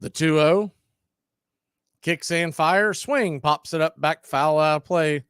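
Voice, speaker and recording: A man calling a live baseball game on radio, chatting and telling side stories between pitches. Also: slow pace, fairly bright tone, clean tone, no room sound, studio quality. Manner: chatty, tells side stories and laughs at them. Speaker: radio play-by-play announcer. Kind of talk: live baseball play-by-play with casual chat.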